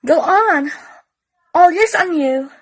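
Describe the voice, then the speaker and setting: A woman's voice: extremely high pitch, animated, slow pace, dry recording, heavily acted. A woman playing an eager, naive young woman in an amateur radio romance. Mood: eager, curious. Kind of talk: amateur radio play acting.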